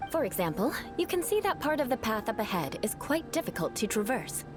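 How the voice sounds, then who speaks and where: A woman's voice: on the high side, very animated, fairly quick. A woman voicing a proud, composed young aristocrat in a video game, coaching others in a formal, faintly condescending way. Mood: instructive. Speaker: trainer. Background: game music.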